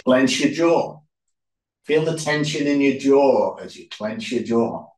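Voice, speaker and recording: Slow-paced man guiding relaxation, roomy echo. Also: medium pitch. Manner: calm, unhurried, short phrases with pauses. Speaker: yoga instructor. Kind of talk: guided relaxation instruction. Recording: roomy echo, distant microphone.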